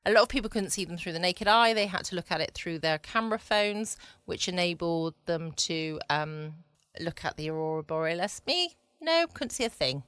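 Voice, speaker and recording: A woman's voice: on the low side, animated, moderate pace, a bit bright, clean tone, dry recording. A woman hosting a bedtime podcast in a chatty, rambling, understated way. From United Kingdom. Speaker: podcast host. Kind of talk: casual bedtime chat. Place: at home, close and dry.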